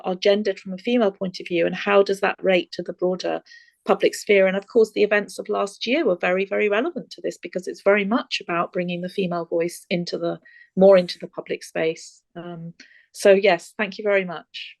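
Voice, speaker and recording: Creaky-voiced woman lecturer answering questions. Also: medium pitch, steady tone, brisk, no echo. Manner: thoughtful, hesitant with many fillers. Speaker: guest lecturer.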